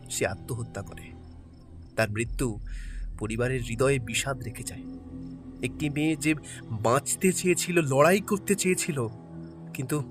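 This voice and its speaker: A man's voice: mid-range voice, fairly bright tone, lightly acted. A man playing a guarded middle-aged man who tells a sad family history in a somber, reluctant way. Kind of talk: audio drama acting. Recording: faint background music.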